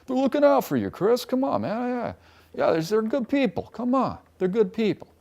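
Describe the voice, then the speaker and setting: A naive, trusting young guy, as a man mocks him, whines in a high, pleading voice. The delivery is drawn-out and slangy, his pitch swinging all over the place. It is a broad, sneering caricature. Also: big pitch swings, creaky, darkish tone, full character voice. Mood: mocking.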